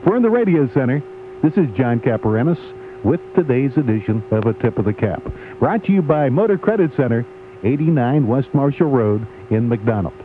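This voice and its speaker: A man reading a scripted sports commentary on the radio with measured, emphatic announcer delivery. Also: mid-range voice, expressive. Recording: tinny AM radio aircheck, faint hum.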